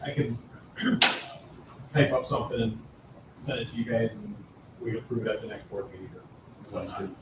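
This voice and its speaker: A man's voice: lowish voice, moderate pace, long pauses. A man on school staff talking informally at a board meeting. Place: indoor meeting room. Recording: echoey room, distant mic, clear noise.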